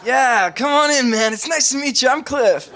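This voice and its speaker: Eager sitcom husband, bit awkward, earnest, breezy overconfident bluffer. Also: male voice, very high-pitched, moderate pace, background noise. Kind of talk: sitcom podcast acting.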